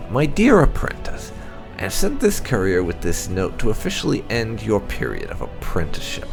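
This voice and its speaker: Male game channel host, low gravelly voice, theatrical lore reading with music underneath.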